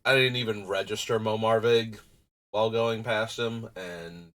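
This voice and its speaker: A man's voice: lowish voice, steady tone, unhurried, fairly bright tone. A man with a nasal, stuffy voice from a runny nose, thinking aloud in a rambling, casual way while livestreaming. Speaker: streamer building a card game deck. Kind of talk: casual live-stream talk.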